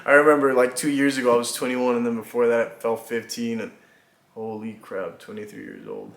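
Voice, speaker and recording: A man in his twenties from Mexico, chatting casually to camera about himself, relaxed and rambling with lots of fillers and little laughs. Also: mid-range voice, brisk, vocal fry. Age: 20s. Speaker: vlogger. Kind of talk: casual get-to-know-me chat. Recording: echoey room.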